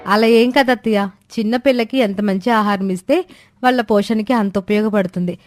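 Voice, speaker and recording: A man's voice: high-pitched, fairly quick, dry recording, soft background music, voice pushed up, lightly acted. A man voicing a patient, polite young wife and mother in a spoken moral story. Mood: reasoning.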